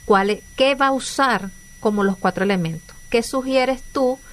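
A woman with a Venezuelan accent leads a conversational interview as host. Her voice sits a little high. She speaks earnestly and often restarts mid-phrase. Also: moderate pace. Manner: chatty, earnest, with many restarts and fillers. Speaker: radio host.

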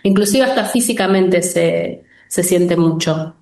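Gentle woman explaining in an echoey room. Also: lowish voice. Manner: gentle, explanatory, drawn-out phrasing with frequent "¿no?". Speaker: spiritual workshop host. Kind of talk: answering viewer questions in a live session.

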